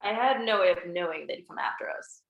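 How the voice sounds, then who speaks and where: A woman's voice: fairly low pitch, expressive, fairly quick, vocal fry. A woman reading the part of a guarded, wry teenage girl at a script table read, with a dry delivery. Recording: echoey room.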